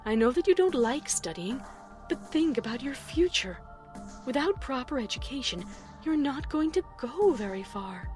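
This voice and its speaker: A stern, disappointed cartoon pony schoolteacher, acted by a woman, admonishes in measured classroom phrases. Her voice is high and moves widely in pitch, with faint music behind it. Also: very animated, darkish tone. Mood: disappointed, admonishing. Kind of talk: cartoon fan fiction character acting.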